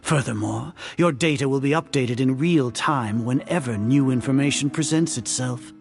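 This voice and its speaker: An actor playing a haughty, older scholarly leader in a video game, lecturing with grandiose contempt. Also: male voice, medium pitch, normal speed, vocal fry, music in the background, natural voice. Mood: condescending. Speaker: grand sage of an academy.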